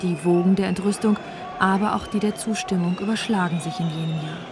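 A woman's voice: lowish voice, fairly even intonation, a little fast. Woman narrating over crowd noise. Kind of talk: scripted documentary narration.

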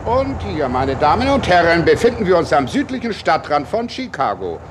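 An actor playing a fussy, obsequious, easily flustered tour guide in a satirical radio play, over-polite and declamatory. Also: male voice, on the high side, big pitch swings, moderate pace, background noise. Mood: grandly declamatory.

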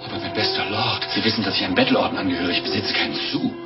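An actor playing an anxious, wily monk in 1950s German radio drama, stammering, exclaiming and repeating himself. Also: male voice, fairly low pitch, very fast, lightly acted. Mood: indignant refusal. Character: anxious, wily male monk fearing for his life. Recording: muffled vintage radio recording with music.

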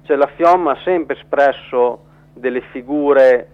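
A man being interviewed as a guest, explaining haltingly and repeating words, over a narrow phone line. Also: mid-range voice. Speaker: metalworker, journalist and documentary co-director, interview guest.

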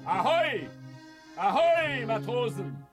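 Shrill, high-pitched excited sea captain. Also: male voice, slow pace, heavily acted. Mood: excited, commanding. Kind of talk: German radio drama acting. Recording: radio play with music underneath.

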